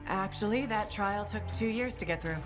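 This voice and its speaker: A woman's voice: medium pitch, moderate pace, lightly acted. A woman playing a headstrong, self-blaming pilot in a video game, with direct, urgent, naturalistic delivery. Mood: wry, curious. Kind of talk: cinematic video game acting. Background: music.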